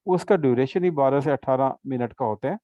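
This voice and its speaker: A man's voice: mid-range voice, a little fast, no room sound, muffled recording. A man tutoring an English class in a casual session, patiently explaining with examples and checking understanding. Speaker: English teacher.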